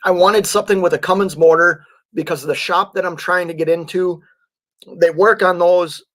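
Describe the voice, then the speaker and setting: Slightly high-voiced man, voice going hoarse. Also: fairly even intonation, fairly bright tone. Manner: halting, clears throat often, many fillers. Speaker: truck driver and livestream host. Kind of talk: casual livestream chat reading viewer comments.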